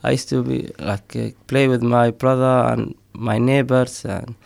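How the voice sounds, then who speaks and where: Man, middle-aged, gravelly, dry close sound, hesitant with fillers. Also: medium pitch, level tone, unhurried, darkish tone, dry recording. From Somaliland. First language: Somali. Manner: hesitant, many fillers, frequent laughs. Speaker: poet and storyteller. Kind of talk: casual interview talk.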